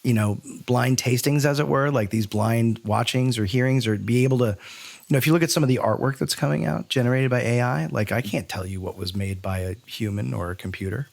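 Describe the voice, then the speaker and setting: Gravelly man, blunt and skeptical. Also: mid-range voice, brisk. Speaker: podcast co-host. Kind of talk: casual debate.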